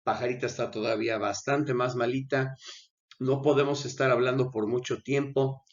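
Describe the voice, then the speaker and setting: An unwell man hosts his channel, talking viewers through a video in a casual commentary voice. He earnestly pleads with his audience at mid pitch. The sound is dull and lo-fi. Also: fairly even intonation, normal speed. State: unwell, with coughing fits. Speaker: channel host. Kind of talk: conversational commentary over a video.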